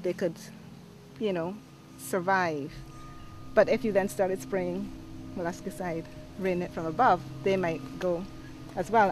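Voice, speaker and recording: A woman university researcher explaining her work in a casual, interview manner. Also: mid-range voice.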